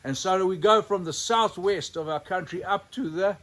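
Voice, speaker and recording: A man telling stories in an animated, unscripted way, theatrical and given to dramatic pauses. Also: high voice. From Zimbabwe. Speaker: storyteller, sculptor presenting his own elephant figures.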